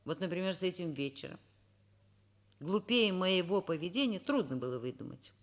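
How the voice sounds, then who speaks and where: A woman's voice: lowish voice, animated, moderate pace. An actress playing a kindly, wry, educated woman professor in a Soviet radio drama, speaking thoughtfully and expressively. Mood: reflective, wry. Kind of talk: Soviet radio drama acting, first-person narration and dialogue.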